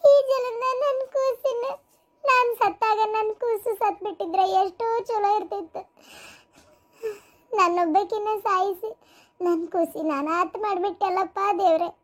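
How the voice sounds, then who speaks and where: Very high, plaintive ghost of a young mother. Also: unhurried. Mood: anguished, tearful. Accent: North Karnataka. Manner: plaintive, lamenting. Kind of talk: melodramatic cartoon story acting.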